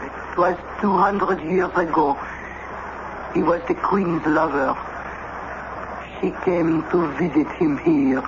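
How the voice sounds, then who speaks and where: An actress playing a proud, sly witch in a radio drama, speaking English in a haughty, measured manner. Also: low voice, fairly even intonation. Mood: cool, mysterious. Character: proud, sly French witch woman, coolly businesslike. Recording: noisy, muffled old radio broadcast recording.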